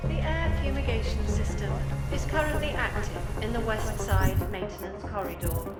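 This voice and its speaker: A woman voicing a calm, impersonal building announcement system in a video game, formal and official. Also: mid-range voice, moderate pace, close to an everyday voice. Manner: formal, flat delivery. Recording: echoing PA system under loud music.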